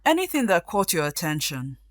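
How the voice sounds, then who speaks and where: Woman acting a low, dry-recorded, frank companion. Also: sing-song, fairly quick, dry recording. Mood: probing. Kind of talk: audio drama dialogue.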